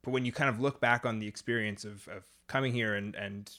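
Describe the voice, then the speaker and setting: A man's voice: lowish voice, steady tone, a little fast, bright, forceful tone. A man interviewing a guest, asking curious, hedging questions and often repeating words as he forms them.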